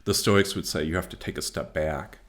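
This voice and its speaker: A middle-aged man, a philosopher and author, speaking as a podcast guest in a measured, reflective way with frequent pauses and fillers. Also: low voice. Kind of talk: podcast interview.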